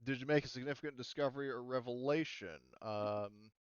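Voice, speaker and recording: A man's voice: medium pitch, a little fast, clear, resonant tone, no room sound. A man running a tabletop roleplaying game as game master, chatting casually. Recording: slightly dull, dry close microphone.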